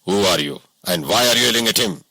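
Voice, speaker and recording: Guy doing a calm bossy king in disguise, audiobook style, lowish husky voice. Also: fast, no room sound. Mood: commanding. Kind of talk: audiobook storytelling.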